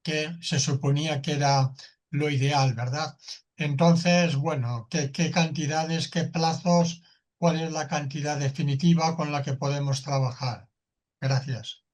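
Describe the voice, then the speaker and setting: A man's voice: on the high side, fairly even intonation, a little slow, darkish tone. A man asking a follow-up question on a panel, polite and checking himself with frequent tag questions.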